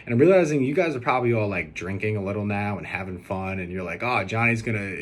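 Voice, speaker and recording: A man's voice: on the low side, fast. A young man recording a casual personal video message, talking in a hesitant, rambling and earnest way. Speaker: groom-to-be answering questions on video. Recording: steady background noise.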